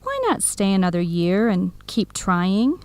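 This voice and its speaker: A woman's voice: medium pitch, sing-song, a little slow, darkish tone, clean tone, no room sound. A woman playing a supportive, frank young best friend in a radio drama, with a direct, encouraging, conversational manner. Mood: concerned. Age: young adult. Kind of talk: scripted radio drama dialogue.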